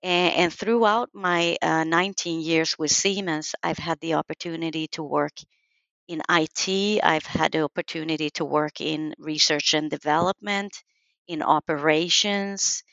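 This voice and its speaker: Woman, forties, low gravelly voice, dry muffled line, pauses and fillers. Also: fairly even intonation, a bit bright, dry recording. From Sweden. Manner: thoughtful, many uh fillers. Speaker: guest, program manager. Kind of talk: interview answers.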